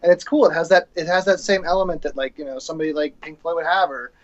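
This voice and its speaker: Quick-talking man in a muffled recording. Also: a little high, steady tone. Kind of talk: casual group conversation.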